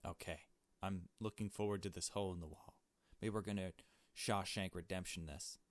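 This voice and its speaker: A man with a fairly low voice gives casual commentary as he plays a game. He mutters to himself and slips in joking asides. The sound is dry, with very little room in it. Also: steady tone, moderate pace, dry recording. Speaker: gaming video host. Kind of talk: casual let's play commentary.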